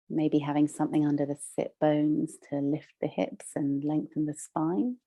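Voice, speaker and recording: A woman leading a guided meditation as a teacher, soothing and measured, with long pauses between phrases. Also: low voice, mellow.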